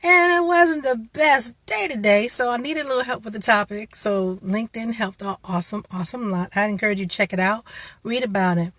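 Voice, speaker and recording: A woman's voice: fairly high pitch, fairly quick, a bit bright, no echo. Encouraging woman giving career advice. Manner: encouraging, conversational, with many restarts. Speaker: career coach host. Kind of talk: casual motivational talk to camera.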